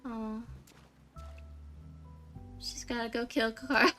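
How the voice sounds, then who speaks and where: A woman's voice: a little high, fairly even intonation, extremely slow, pushed and bright. A woman live-streaming a game with casual, doting reactions in short, gushing exclamations. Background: game music.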